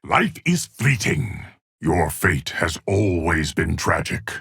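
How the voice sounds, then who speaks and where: A man playing a stern, ancient keeper of time in a fighting video game, with a gravelly, husky voice and a formal, portentous delivery. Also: deep voice, sing-song, slow pace, a bit bright, no echo, studio quality, exaggerated voice. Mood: commanding, ominous. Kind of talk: dramatic fighting game character lines.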